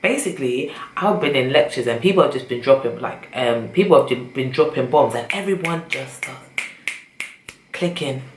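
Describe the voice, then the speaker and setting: A woman's voice: a bass voice, expressive, moderate pace. A young woman with a British accent, chatting casually and rambling to her camera. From Britain. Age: young adult. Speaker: vlogger. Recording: echoey room with faint noise.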